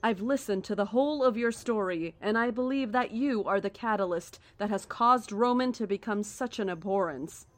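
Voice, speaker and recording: A woman plays a female cult founder in a horror audio drama, recorded close and dry. She is calm and cryptic, choosing formal words deliberately, with cold certainty and a faint menace. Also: medium pitch, no room sound, lightly acted. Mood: cold, accusing.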